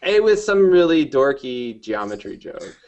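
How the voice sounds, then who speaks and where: A man's voice: fairly high pitch, big pitch swings, a little slow, darkish tone, a little hiss or hum. A man from New Jersey, a game developer guest, talks casually in rambling sentences full of fillers. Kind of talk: casual interview chat.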